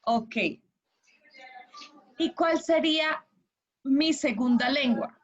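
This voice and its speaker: Colombian lady prof doing an online lecture, asks lots of check questions, highish voice, bit lo-fi sound. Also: takes it slowly. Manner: encouraging, checks understanding with questions. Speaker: language teacher leading an online class. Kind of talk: interactive lecture. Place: online video class with slides. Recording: dull, lo-fi online class audio.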